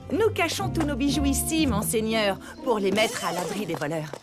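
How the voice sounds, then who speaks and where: A woman's voice: a little high, lively intonation, normal speed, close to an everyday voice. A woman playing a coy, eager young shopkeeper's daughter in a French cartoon, ingratiating and salesy. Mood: flattering. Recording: loud music behind voice.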